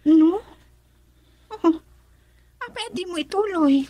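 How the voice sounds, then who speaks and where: Young Ilocano female confidante for radio drama, very high voice, coaxing. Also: slow, mellow, dry recording. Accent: Ilocano Filipino.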